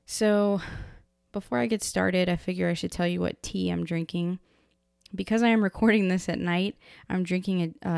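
A woman hosting a casual book podcast, chatty and rambling, her voice hoarse from being sick. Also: lowish voice, darkish tone, no echo, studio quality. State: hoarse from illness. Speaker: podcast host.

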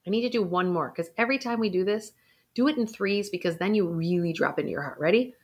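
Calm woman guiding a breathing exercise. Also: mid-range voice, fast talker, slightly rough. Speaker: intuition coach. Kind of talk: guided exercise for viewers. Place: indoors, recording a video.

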